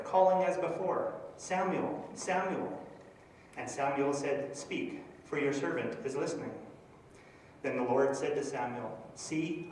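A man's voice: fairly high pitch, a little mellow, a little hiss or hum. A middle-aged man with a Canadian accent, a minister presenting a project and reading scripture in a church, hesitant and full of fillers. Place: church sanctuary before a projector screen. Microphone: handheld microphone. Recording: echoey church from a far camera.

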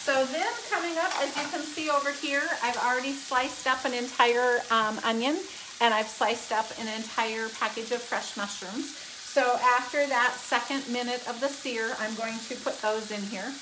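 A woman's voice: a little high, steady tone, moderate pace, noisy background. A woman in her fifties hosting a live cooking stream from her home kitchen, chatty and upbeat as she explains each step into a phone camera. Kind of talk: casual cooking demonstration. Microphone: phone camera, often held close, sometimes across the kitchen. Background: sizzling pan.

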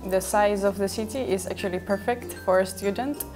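A woman from Finland with a Finnish accent, a music student answering in a measured, thoughtful way. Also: mid-range voice, fairly even intonation, a little mellow. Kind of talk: casual interview answers.

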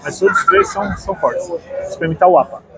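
A man's voice: high voice, fast, a crowd in the background, low-quality recording. A man in his fifties with a Brazilian Portuguese accent, tasting dishes in a busy restaurant and humming with pleasure at each bite. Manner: savoring, relishing each bite with hums. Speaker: food vlogger. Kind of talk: casual food tasting vlog. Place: large busy open-air restaurant.

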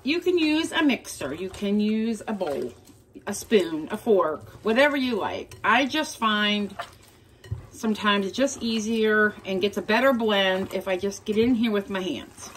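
A woman's voice: mid-range voice, expressive, a little slow. A woman giving a casual cook-along demonstration in her home kitchen, chattily talking viewers through each step. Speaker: home cooking vlogger. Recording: clear kitchen noise, clinking dishes.

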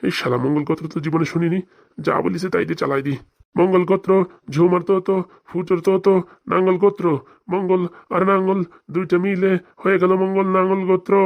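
Man playing a sing-song, sly village priest, flat-toned. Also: high voice, vocal fry, dull audio. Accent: Bengali, rural dialect. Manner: sing-song mock-solemn chanting. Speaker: wedding priest. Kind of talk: comic cartoon voice acting with mock mantra chanting.

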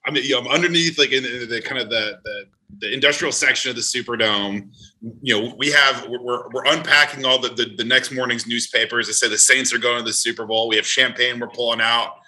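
A man's voice: medium pitch, fairly even intonation, a little fast, sharp tone, rough recording. A man from New Jersey with an American accent, telling casual anecdotes as a podcast guest. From New Jersey, United States.

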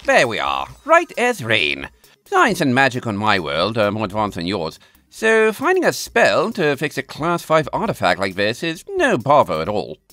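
A man's voice: medium pitch, wildly swooping pitch, normal speed, pushed and bright, soft background music. A man voicing a fussy, courteous male pony scientist, wordy and pompous, in a cartoon comic dub. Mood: assured.

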